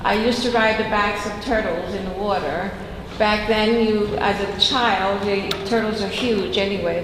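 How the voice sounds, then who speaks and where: Woman from Costa Rica at a council mic, rambling and sincere, big reverberant room with hiss. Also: mid-range voice. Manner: heartfelt, rambling, with restarts. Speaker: resident speaking at public comment. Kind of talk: public comment at a council meeting. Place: large council chamber. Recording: echoey hall with noise.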